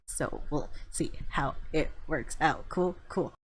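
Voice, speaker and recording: A woman's voice: sits low, takes it slowly. A woman doing casual, chatty and reactive gameplay commentary, sometimes reading game text aloud. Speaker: let's-play streamer. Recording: background game music.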